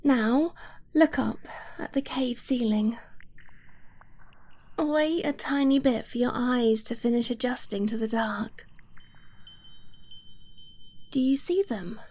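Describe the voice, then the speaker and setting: Woman playing a slow, high, breathy affectionate girlfriend. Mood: tender, playful. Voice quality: soft, breathy, often dropping to a whisper. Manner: slow, gentle, hesitant, intimate. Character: affectionate, nervous dragon-blooded girlfriend, adult woman. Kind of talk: romantic ASMR roleplay.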